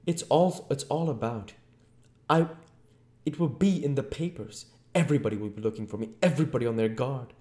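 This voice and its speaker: A man voicing an irritable, menacing invisible man in an audiobook, sharp and commanding. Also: mid-range voice, a little fast, pitched above the performer's own voice, lightly acted. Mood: angry, agitated. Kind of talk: audiobook character voice. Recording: echoey room.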